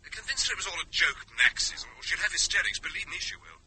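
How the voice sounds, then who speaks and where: Brisk high-pitched man on telephone. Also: big pitch swings, very noisy. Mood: brisk, concerned. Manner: brisk, instructing, dismissive of worry. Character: brisk, practical man, calmly taking charge. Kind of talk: naturalistic radio drama acting.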